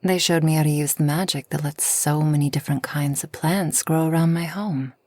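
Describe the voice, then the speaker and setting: Woman doing a gentle old-soul forest witch, asmr style, breathy almost whispering, slow and soothing, really close dry sound. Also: low voice, fairly even intonation, dry recording. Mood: soft, serene. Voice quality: soft, breathy, often near whisper. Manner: gentle, unhurried, soothing, slightly formal speech. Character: kind, solitary, long-lived forest witch hosting a traveler. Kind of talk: soft ASMR roleplay.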